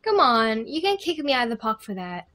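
A woman's voice: a little high, big pitch swings, fairly quick, fairly bright tone. An actress playing a defiant, snippy young woman in an audio drama. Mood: protesting.

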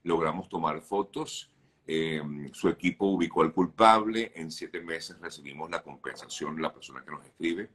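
Deep-voiced male interview host. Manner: steady, brisk, agreeable interjections. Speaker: host, journalist. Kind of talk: interview host reading viewer questions. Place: home office. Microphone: video call webcam.

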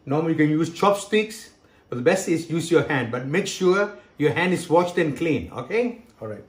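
A man's voice: a little high, animated, normal speed. A middle-aged man from Hong Kong hosting a home cooking demonstration in his kitchen, explaining each step and checking in with "okay" and "right". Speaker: cooking show host. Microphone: camera close by, handheld.

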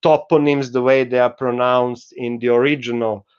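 A middle-aged man giving a university lecture over a video call, explaining slowly with many fillers. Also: medium pitch, little pitch movement, a little mellow, clean tone, muffled recording. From Croatia. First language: Croatian. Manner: slow, explanatory, with frequent fillers. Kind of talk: recorded online lecture. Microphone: video call webcam.

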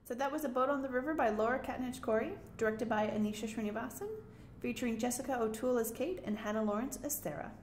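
A woman's voice: fairly high pitch, quick pace. A woman co-hosting a podcast, presenting and chatting casually. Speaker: podcast co-host. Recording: echoey room, faint noise.

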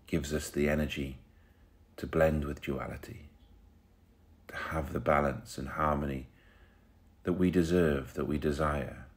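A man leading a guided meditation in a soothing, unhurried way, pausing between short phrases. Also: rumbling low, fairly even intonation.

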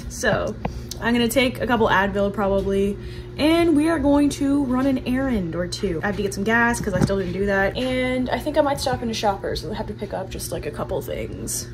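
A woman's voice: mid-range voice, sing-song, a little fast, music in the background. A young woman vlogging casually at home, chatty and candid, laughing often and filling her talk with "like". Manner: chatty, giggly and candid, with frequent laughs and filler "like". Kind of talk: casual vlog chat. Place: home, with laundry running.

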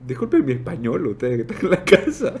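A man's voice: a little high, wildly swooping pitch, fast, darkish tone. A podcast host in his thirties, a man. His voice rises and falls a great deal as he teases his guest and laughs along. He speaks into a desk mic in a studio. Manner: playful, teasing, laughs easily. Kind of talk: casual interview chat. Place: dark studio set. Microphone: desk mic on boom arm.

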